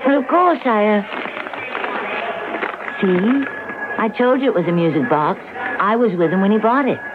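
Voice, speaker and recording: A flustered, trusting young-hearted woman played by a radio actress, hesitant and stammering, with very lively intonation. It comes through as a tinny, noisy old radio drama broadcast. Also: mid-range voice, normal speed, lightly acted. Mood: flustered, insistent. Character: flustered, trusting woman traveler stopped at inspection.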